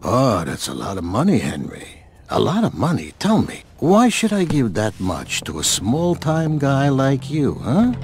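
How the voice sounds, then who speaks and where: Shrewd, animated older loan shark. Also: male voice, medium pitch, a little hiss or hum. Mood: shrewd, courteous. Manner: measured, falsely courteous, threatening. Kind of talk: video game cutscene acting.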